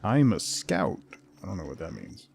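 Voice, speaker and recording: A man's voice: deep voice, big pitch swings, normal speed, creaky, a little mellow, a little hiss or hum. A man doing a casual, rambling livestream over a video game, talking conversationally with viewers. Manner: rambling, conversational, reads chat and game text aloud. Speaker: game streamer, host.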